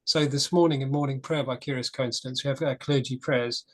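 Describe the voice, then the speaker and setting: A man's voice: medium pitch, fairly even intonation, fairly quick. A man in a casual church discussion group, talking frankly and telling a story with restarts.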